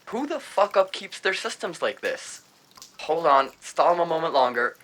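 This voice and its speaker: A man's voice: a little high, sing-song, moderate pace, a bit bright. A man playing a harried, irreverent hacker in an audio drama, talking in a casual, slangy way. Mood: harried, focused. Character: harried, irreverent male tech hacker feeding hurried instructions.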